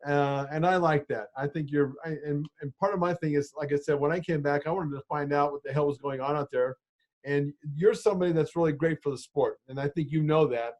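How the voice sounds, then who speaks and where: A man in his sixties hosts a casual podcast chat. His voice sits a little high for a man, and he talks bluntly, rambling and dropping curse words as he goes. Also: fairly even intonation, fairly quick, a little mellow, dull audio. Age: 60s. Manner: blunt, swears freely, rambling. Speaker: podcast host.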